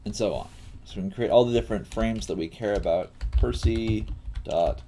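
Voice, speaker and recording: A man giving a casual live coding tutorial as a teacher, thinking aloud in a halting way while he works. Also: on the low side, dark tone, slight background noise.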